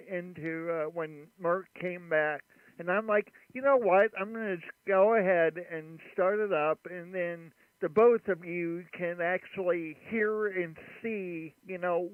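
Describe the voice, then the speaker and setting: A man with a high, smooth voice chats on the radio at an easy pace. The sound is dry and narrow, like a radio band. He rambles with plenty of fillers. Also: no room sound. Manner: relaxed, rambling, many fillers. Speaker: ham radio operator hosting a livestream. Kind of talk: casual radio chat. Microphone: studio mic at his station.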